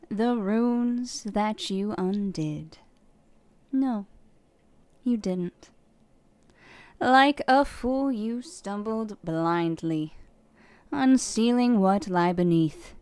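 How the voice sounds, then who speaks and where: A woman voicing a scornful, menacing ancient villainess with a theatrical, mocking, drawn-out delivery. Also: fairly high pitch, a little mellow, no room sound. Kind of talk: fantasy villain monologue.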